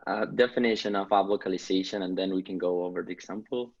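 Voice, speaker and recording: A man's voice: deep voice, steady tone, quick pace, husky, highs cut off. A man moderating a webinar panel, passing brief questions to the speakers.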